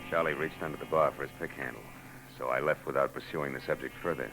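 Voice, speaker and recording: An actor playing a sardonic hardboiled private detective who also narrates, in a 1940s radio drama. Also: male voice, rumbling low, fairly even intonation, quick pace, a bit bright, soft background music, rough recording. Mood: narrating. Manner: dry, sardonic. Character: wisecracking hardboiled male private eye.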